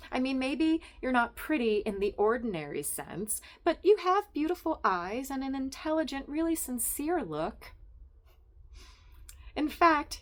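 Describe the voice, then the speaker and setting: A woman reading a story puts on a nosy young wife who gushes and coaxes, her voice pitched well above the reader's own and swinging up and down. It is sweetly bossy and cloying, full of little "honey"s, and sounds like a voice from a storybook read aloud. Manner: gushing, coaxing, calls everyone honey.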